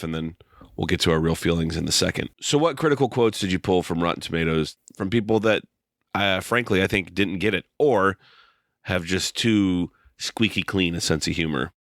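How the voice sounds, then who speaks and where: A man co-hosting a casual film review podcast, dry and measured with wry humor. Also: deep voice, a bit bright, no echo. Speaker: podcast co-host.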